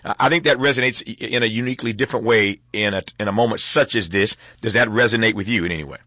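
A man hosting a radio interview, asking long, halting, reflective questions. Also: on the low side, pushed and bright, no echo, clean studio sound. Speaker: talk show host.

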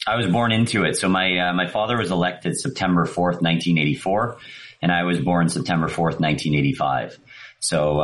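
Low-voiced hesitant man in his forties. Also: fairly bright tone. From Canada. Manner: hesitant, many fillers and restarts, self-deprecating. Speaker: guest. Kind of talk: interview conversation.

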